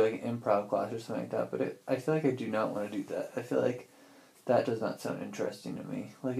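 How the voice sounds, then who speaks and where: Creaky-voiced young man thinking aloud. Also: medium pitch, warm tone. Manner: rambling, self-interrupting, informal. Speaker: personal audio diarist. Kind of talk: casual thinking-aloud monologue.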